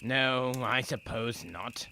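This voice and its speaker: A man's voice: medium pitch, takes it slowly, gravelly, background noise. A man playing a stern but anxious guard and protective father in an audio drama, blustering in old-fashioned phrases. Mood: reluctant. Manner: blustering, stammering when troubled, archaic phrasing.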